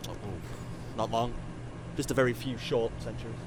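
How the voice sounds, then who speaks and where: A man's voice: medium pitch, little pitch movement, normal speed, natural voice. Man acting a cynical time-travelling scientist, noisy background. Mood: flippant, sardonic. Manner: sardonic, weary, sweary. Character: cynical, regretful centuries-old scientist from the future, youthful-looking man. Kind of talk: audio drama acting.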